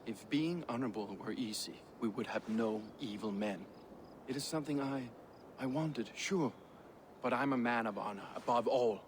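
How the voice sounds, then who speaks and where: A man's voice: medium pitch, moderate pace, slight background noise, close to an everyday voice. An actor playing a proud, dutiful Viking craftsman in a video game, earnest, formal and slightly defensive. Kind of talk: dramatic game dialogue acting.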